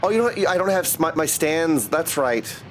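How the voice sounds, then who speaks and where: A man's voice: fairly high pitch, lively intonation, fairly quick, a little hiss or hum, poor audio. A man livestreaming a game, chatting casually and in slang with his viewers. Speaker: game streamer. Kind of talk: casual livestream gameplay commentary. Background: game music.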